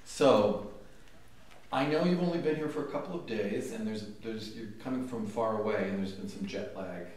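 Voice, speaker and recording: Chatty middle-aged man, low voice. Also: normal speed. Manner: relaxed, chatty, with fillers and restarts. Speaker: interviewer, content manager. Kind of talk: casual interview. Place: conference room, indoors. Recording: echoey room, mic far off.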